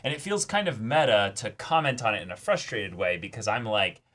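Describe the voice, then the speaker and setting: Bright-voiced man chatting emphatically. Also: lowish voice, expressive, a little fast. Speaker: co-host of an anime reaction channel. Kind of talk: casual chat, reaction discussion.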